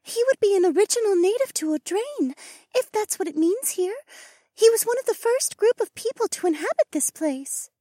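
A woman's voice: very high voice, expressive, normal speed, warm tone, no room sound. A woman voicing a loyal bird spirit in a fantasy audiobook, cautious, deferential and insistent. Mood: thoughtful. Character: loyal, wary female bird spirit serving a lord.

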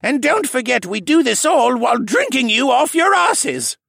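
A man's voice: sits high, wildly swooping pitch, normal speed, vocal fry, fairly bright tone, slightly rough, dry recording, clean studio sound, voice pushed up, full character voice. A man voicing a tipsy old male koala butler with a slightly slushy, theatrical way of reciting.

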